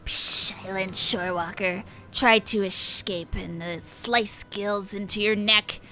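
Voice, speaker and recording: A woman's voice: mid-range voice, steady tone, slow, pushed and bright. A woman voicing a cruel, boastful male fish-man raider from a video game, hissing and threatening. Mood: menacing. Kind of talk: video game villain dialogue read aloud.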